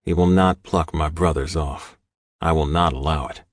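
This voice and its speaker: Very deep male audiobook narrator, close and dry. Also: steady tone, normal speed, no echo. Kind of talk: scripted first-person fiction narration, gritty and dramatic.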